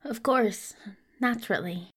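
A woman's voice: on the high side, unhurried, slightly rough. A woman playing a tired, meek and polite younger brother, hesitant and apologetic, in a fan audio drama. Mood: meek, placating.

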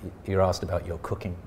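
Man, interviewer, lowish voice, eager, chatty, stumbles over words, faint rumble. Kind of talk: conversational interview.

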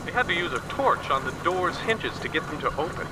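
An actor playing a seasoned male war correspondent reporting live, plain-spoken with halting restarts. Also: a little high, animated, lightly acted. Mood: calm. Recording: loud vehicle and wind noise.

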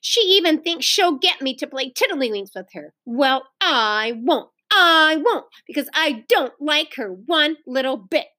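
Sulky, fed-up big sister girl, lively pitch; a woman reads her. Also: unhurried, few pauses, dry recording, exaggerated voice. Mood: exasperated. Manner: exasperated, sulky. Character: annoyed older sister, a girl.

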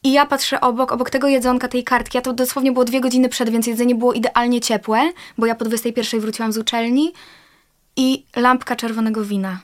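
A woman's voice: fairly high pitch, quick pace. Young woman telling a personal story. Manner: lively, personal storytelling with restarts. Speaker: actress, guest. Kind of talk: casual interview storytelling.

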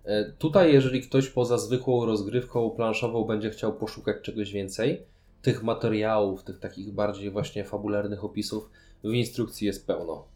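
A man's voice: fairly low pitch, fairly even intonation, moderate pace, dark tone. A man talking as a podcast host, reviewing board games conversationally in long, explanatory sentences.

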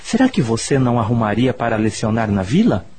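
Casting: sweet, wistful Brazilian lad in a radio serial; raspy, soft-toned, mellow voice. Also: male voice, medium pitch, big pitch swings, brisk. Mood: wistful, affectionate. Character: affectionate, wistful young boy. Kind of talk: Brazilian radio soap opera acting.

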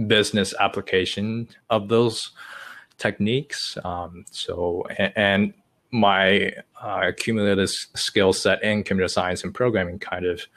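Young guy from china, low voice, lots of uhs, rambles on, casual chat. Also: fairly bright tone. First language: Chinese. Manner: hesitant, many uh fillers, long-winded. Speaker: podcast guest.

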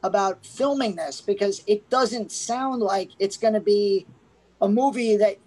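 A woman's voice: mid-range voice, measured pace, warm tone, poor audio. A woman casually reviewing a movie as a podcast co-host, rambling with many "like"s. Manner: rambling, full of "like"s, laughs.

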